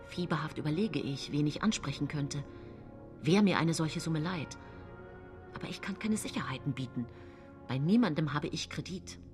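A woman's voice: deep voice, expressive, normal speed, a little mellow, soft background music. An actress playing a fretful, falsely tender woman poisoner in a plaintive radio play monologue. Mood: anxious.

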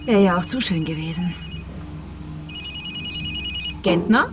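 An actress playing a brisk, probing police detective with a direct, wry manner, in a naturalistic radio drama. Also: female voice, fairly low pitch, lively intonation, noisy background.

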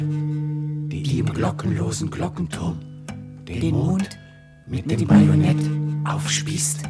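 An actor narrating a radio drama in a solemn, poetic, measured manner. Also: male voice, on the high side. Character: solemn, poetic male narrator of night scenes. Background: guitar music.

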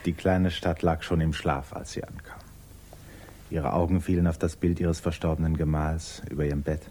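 A man's voice: a bass voice, flat delivery, moderate pace, mellow, a little hiss or hum. An actor as the narrator of a 1950s literary radio drama, following a troubled woman's thoughts. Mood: quiet, intimate. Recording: 1950s radio recording, music behind.

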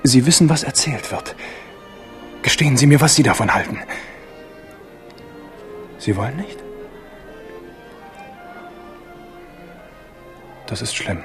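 An actor playing an ardent, proud young Huguenot prince in a German radio drama, impassioned and theatrical. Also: male voice, mid-range voice, animated, normal speed, long pauses, soft background music, natural voice. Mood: anguished, pleading.

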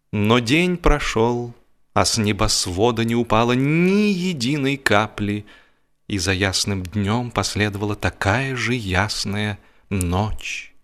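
A man reading a humorous literary story aloud in an expressive, wry, theatrical storytelling manner. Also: fairly low pitch. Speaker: audiobook narrator and actor.

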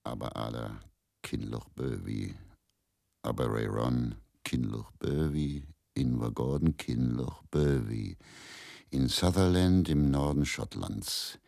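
An actor in a radio drama monologue, playing a brooding, self-absorbed, worn middle-aged faith healer, musing in measured, incantatory phrases with a gravelly voice. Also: male voice, a little mellow, no room sound, studio quality, heavily acted. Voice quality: very deep, gravelly.

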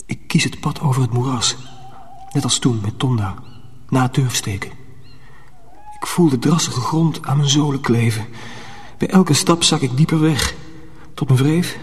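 An actor playing an earnest, protective young mill apprentice in a radio drama, measured and confiding. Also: male voice, mid-range voice, breathy edge. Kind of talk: radio drama narration and dialogue.

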